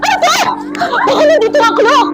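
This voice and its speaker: A young woman with a Filipino accent acting an excitable, panicky, accusing character in a comic stage play, exclamatory and melodramatic. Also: extremely high pitch, a little slow, loud music behind the voice, exaggerated voice. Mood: panicked. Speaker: student stage actor. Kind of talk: theatrical one-act comedy stage acting.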